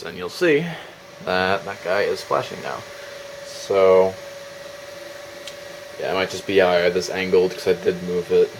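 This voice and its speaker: Low-voiced man casually demoing a DIY build. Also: slight background noise, poor audio. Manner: informal, thinking aloud while handling gear. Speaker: DIY builder demonstrating his project. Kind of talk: casual off-the-cuff demo talk.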